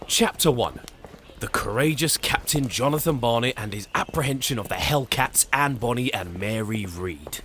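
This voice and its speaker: A wry, excitable journalist in a period audio drama. The voice sits at a middle pitch, bright and very lively, swinging between glib showmanship and fluster, over faint noise. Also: male voice, unhurried. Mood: gleeful, glib. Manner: glib, theatrical, easily flustered. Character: eager, wry, excitable 18th-century journalist and publisher.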